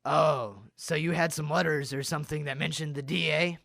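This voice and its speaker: A man's voice: a little high, normal speed, gravelly, a bit bright, dry recording. A man playing a suspicious, hard-boiled gangster boss in a radio crime drama, curt and wary. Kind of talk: old-time radio crime drama acting.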